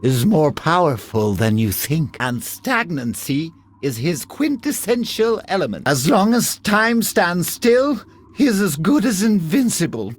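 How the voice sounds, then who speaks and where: Müder alter Mann mit bewegter Sprachmelodie. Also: male voice, sits high, sing-song, a little slow, slightly rough, exaggerated voice. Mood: pessimistic. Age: older. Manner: dramatic, sighing, swinging between resignation and alarm. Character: weary, fretful old man, pessimistic mentor. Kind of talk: scripted video game acting.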